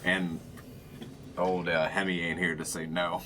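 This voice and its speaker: A man's voice: low-pitched, flat delivery, measured pace, background noise. A man talking casually as a player in a tabletop game with a group.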